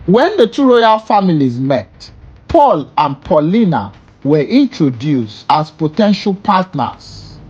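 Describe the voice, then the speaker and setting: A storyteller giving a scripted folktale narration, slow and dramatic, with swooping emphasis on key words. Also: mid-range voice, very animated, a little mellow, slightly rough, lo-fi sound.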